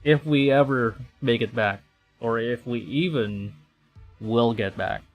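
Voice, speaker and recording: Excitable male game streamer over music. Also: mid-range voice, slow. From Philippines. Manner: excitable, slipping between dramatic reading and chatty asides. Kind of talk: game livestream, reading visual novel aloud with casual commentary. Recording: music behind the voice.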